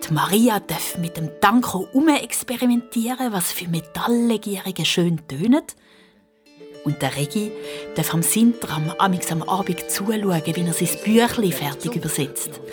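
Low-voiced Swiss German woman storyteller. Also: animated, normal speed. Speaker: narrator. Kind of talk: storytelling narration for children's radio play. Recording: music behind the voice.

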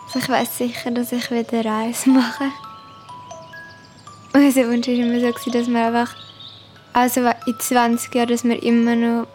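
A woman's voice: a little high, dark tone. A fifteen-year-old girl with a Swiss German accent, talking casually about herself in an interview. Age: 15, teenager.

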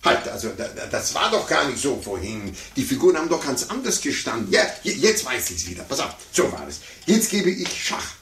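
An actor playing a pompous, condescending sore loser, stammering and blustering in a 1950s radio play. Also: male voice, mid-range voice, big pitch swings, fairly quick, hardly any pauses, a bit bright. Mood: flustered, blustering. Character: pompous adult man, a blustering, sore-losing chess player. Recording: roomy, noisy 1950s radio recording.

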